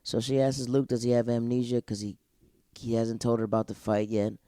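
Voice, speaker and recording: Brief: young male solo podcast host, loose and slangy, mellow dark tone, dry close sound. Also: medium pitch, monotone, a little fast, no room sound. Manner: rambling, digressive, slangy. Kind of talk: casual TV episode review.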